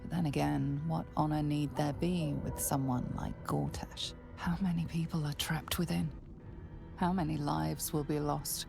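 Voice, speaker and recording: A very creaky, low-voiced female narrator speaks over music. She is ominous and knowing, with a hushed, probing delivery suited to a dark fantasy game. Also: steady tone, unhurried, exaggerated voice.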